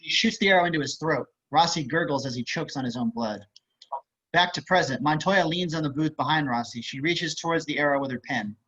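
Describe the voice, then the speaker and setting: A man's voice: fairly high pitch, a little fast, fairly bright tone, breathy edge. A man reading screenplay action as scripted narration over a video call. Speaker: stage directions reader. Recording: slightly muffled video-call audio.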